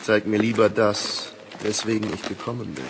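An actor playing an arrogant, imperious collector in a German audio drama, measured and condescending. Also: male voice, fairly low pitch, steady tone, lightly acted. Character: arrogant, imperious male buyer of occult relics. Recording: long hall reverb, faint noise.

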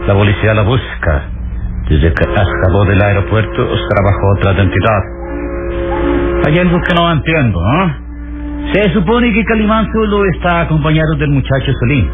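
An actor playing a suspicious, commanding villain boss in an old radio serial, theatrical, impatient and demanding. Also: male voice, medium pitch, lively intonation, normal speed, lightly acted. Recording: tinny band-limited old radio serial, music.

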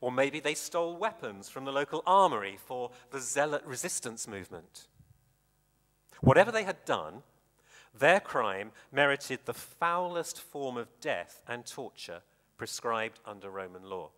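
A man preaching a sermon in a measured, deliberate way with dramatic emphasis, his voice echoing in a hall. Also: mid-range voice, sing-song, fairly bright tone. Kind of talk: sermon with scripture reading. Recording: reverberant hall, long echo.

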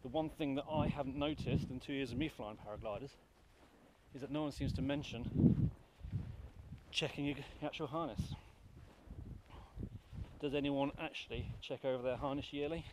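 A man's voice: mid-range voice, moderate pace, pauses often, heavy background noise. A man in his thirties, a paraglider pilot, talking casually and earnestly to an action camera outdoors, asking the viewer questions. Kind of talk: casual vlog explaining gear. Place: outdoors on a grassy hillside, then in flight.

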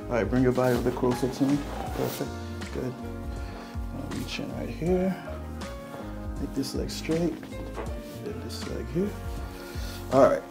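A man's voice: medium pitch, gravelly. Guy chiropractor, chill reassuring talk, keeps checking in, music behind him. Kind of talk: casual talk while treating a patient. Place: small chiropractic treatment room indoors.

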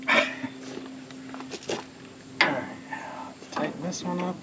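A man's voice: a little high, slow pace. Chatty man fixing a sawmill outdoors. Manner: chatty, laughs easily, talks while working. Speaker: sawmill owner showing repairs. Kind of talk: casual hands-on explaining. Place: outdoors in a wooded yard beside a sawmill. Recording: outdoor recording with clear background noise.

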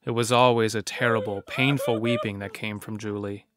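A man's voice: fairly low pitch, fairly even intonation, normal speed. A man reading scripted narration for a horror story as its narrator. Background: faint music.

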